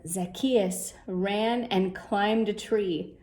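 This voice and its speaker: A woman reading a rhyming children's story aloud in a measured, expressive way. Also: mid-range voice. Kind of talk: scripted storybook narration in rhyme.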